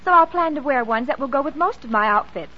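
A woman's voice: high-pitched, lively intonation, fast talker. A woman playing a cheerful, practical young woman in a scripted, chatty 1940s radio advert. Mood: cheerful, helpful. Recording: dull vintage 1940s radio.

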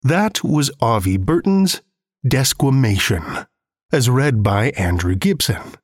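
A man's voice: medium pitch, breathy edge, no room sound, studio quality. A man hosting a horror fiction podcast, reading a script in a theatrical, playfully ominous manner.

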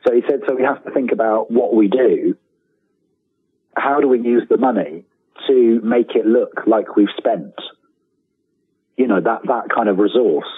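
A man speaking as an interview guest, telling anecdotes in an enthusiastic, halting way, often repeating the starts of his phrases. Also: mid-range voice, slightly rough. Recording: thin phone-like line with room echo.

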